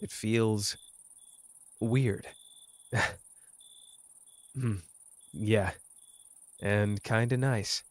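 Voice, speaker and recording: A man's voice: fairly low pitch, steady tone, extremely slow, long pauses, a little mellow. A man playing a cocky young sorcerer who teases tenderly and talks informally, in an intimate roleplay performance. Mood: awkward, sincere. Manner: informal, hesitant when talking about feelings. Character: cocky young male sorcerer, teasing yet tender with his partner. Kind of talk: intimate roleplay audio acting.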